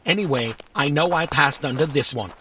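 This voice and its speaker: An actor playing a small, talkative robot in a kids' audio drama, literal, fussy, indignant and boastful. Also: male voice, fairly high pitch, normal speed, fairly bright tone, a little hiss or hum, close to an everyday voice. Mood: proud, matter-of-fact. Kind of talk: scripted children's audio fiction acting.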